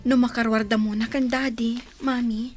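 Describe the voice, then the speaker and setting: A woman's voice: on the high side, level tone, normal speed, faint music behind, natural voice. A woman with an Ilocano accent voicing an anxious, pleading child of separated parents in a radio drama.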